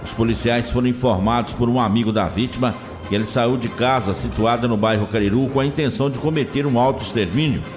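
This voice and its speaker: A man reads police reports with a Minas Gerais Brazilian accent, steady and formal. His voice carries a long reverb tail, and clear music plays beneath him. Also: mid-range voice, fast. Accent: Brazilian Portuguese, Minas Gerais. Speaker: police news reader. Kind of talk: scripted news reading.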